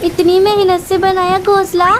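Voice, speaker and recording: A woman voicing a fretful, excitable old aunt bird in a children's cartoon. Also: very high voice, moderate pace, background noise. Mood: lamenting. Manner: alarmed, lamenting. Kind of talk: Hindi children's cartoon dialogue.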